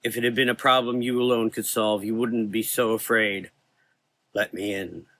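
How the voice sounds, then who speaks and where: A man's voice: fairly low pitch, fairly even intonation, normal speed, a bit bright. A man playing a mysterious gothic figure with a gift for minds, speaking in a soothing, coaxing, hypnotic way in an audio drama. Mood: earnest, gentle.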